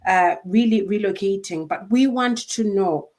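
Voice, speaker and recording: A woman's voice: medium pitch, unhurried, darkish tone. A woman hosting an interview, speaking in an earnest, wordy, conversational way. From Africa. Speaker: interview host.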